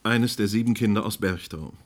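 Actor as a low-voiced, firm radio moderator. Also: male voice, moderate pace, lightly acted. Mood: pointed, factual. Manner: formal, courteous but firm. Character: brisk, insistent male radio moderator of a crisis talk. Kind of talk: German radio drama as live news panel.

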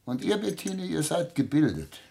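An actor playing an aging, sardonic family patriarch in a German radio play, speaking with formal, probing irony. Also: male voice, on the high side, very animated, normal speed, creaky, warm tone. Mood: cutting, formal. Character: imperious aging patriarch, sardonic privy councillor and father.

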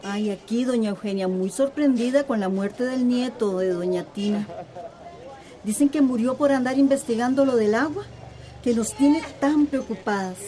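A woman's voice: fairly high pitch, normal speed, warm tone, close to an everyday voice. A woman playing a worried, chatty village neighbour in a radio drama, gossiping and lamenting. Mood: worried, gossipy.

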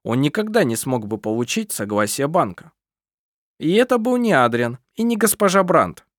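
A shrewd police chief played by the reader sets out his reasoning calmly and with measured care. The intonation stays lively, and the recording is close and dry. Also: mid-range voice, no room sound, lightly acted. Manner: calm, measured, sly. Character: shrewd calm rural police chief, man.

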